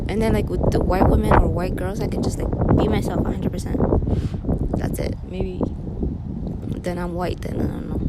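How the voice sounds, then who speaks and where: A woman's voice: fairly low pitch, fairly even intonation, moderate pace. A woman ranting in a casual, rambling way, full of filler words and tag questions, with little laughing asides. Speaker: monologue performer. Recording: loud wind noise over the mic.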